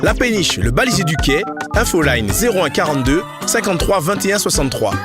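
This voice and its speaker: A man's voice: a little high, big pitch swings, a little fast. A man reading a promotional advert as an announcer. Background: loud hip hop music.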